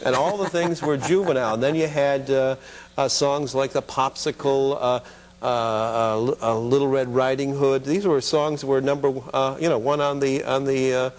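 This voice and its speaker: A man's voice: mid-range voice, fairly even intonation, normal speed, slight background noise. A mature American man reminiscing casually as an interview guest in a television studio. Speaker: guest, former children's TV producer and puppeteer.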